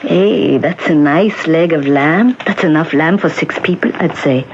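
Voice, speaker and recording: Kindly bustling middle-aged shop lady, old radio play, lowish voice, repeats herself for emphasis, dull hissy recording. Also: measured pace. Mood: chatty, earnest. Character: kindly, bustling middle-aged woman shopkeeper, motherly and plain-spoken. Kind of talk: old-time radio drama acting. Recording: muffled, hissy 1970s radio broadcast recording.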